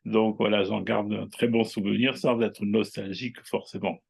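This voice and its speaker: Older male guest, 60s, low gravelly husky voice, hesitant and unhurried. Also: level tone, darkish tone. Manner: unhurried, hesitant, many fillers and tag words. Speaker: guest, writer and astrologer. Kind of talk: casual interview chat.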